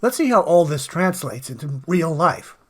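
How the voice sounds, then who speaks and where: Higher-pitched man narrating commentary. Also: very animated, moderate pace, husky. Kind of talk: scripted political commentary narration.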